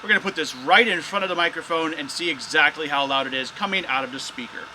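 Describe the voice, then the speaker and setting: A man's voice: on the high side, fast talker, background noise. A man hosting a casual, hands-on product test of drone gear as a reviewer.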